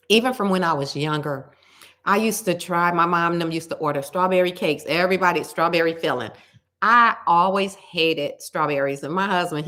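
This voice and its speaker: Loud, bright-voiced woman telling stories. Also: lowish voice. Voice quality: loud, carrying voice. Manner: animated storytelling, repeats words for emphasis. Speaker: cooking vlogger. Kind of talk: casual chat to viewers.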